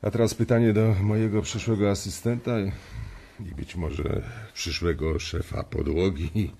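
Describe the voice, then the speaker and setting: An actor playing a domineering bank boss, jovial and bullying, with a commanding, theatrical manner in a radio drama. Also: male voice, deep voice, steady tone, measured pace, creaky, warm tone, slight background noise. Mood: brusque, probing.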